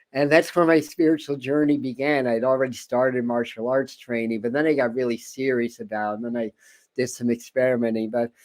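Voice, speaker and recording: A man's voice: medium pitch, a little mellow. A man hosting an interview channel, talking casually in a rambling way with many stammered repeats and chuckles. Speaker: host.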